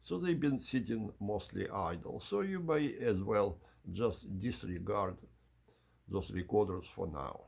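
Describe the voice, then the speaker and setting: A man hosting an unhurried explanatory video tour in measured, slightly formal phrasing, with a husky, gravelly voice. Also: fairly low pitch, animated, a little mellow. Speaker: channel host giving an audio system tour.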